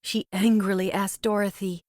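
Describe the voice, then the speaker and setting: A woman narrating a read-along children's storybook with expressive, dramatic storytelling. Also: medium pitch, moderate pace, dry recording, studio quality.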